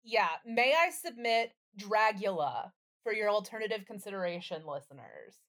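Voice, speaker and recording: A woman's voice: mid-range voice, animated, moderate pace, fairly bright tone. A woman co-hosting a podcast, chatting in a casual, comedic way with a playful, slangy manner. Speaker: podcast host.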